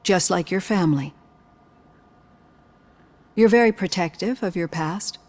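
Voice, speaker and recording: An actress playing a composed psychologist in a video game, speaking in a calm, measured, gently probing way. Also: female voice, fairly low pitch. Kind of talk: scripted game dialogue.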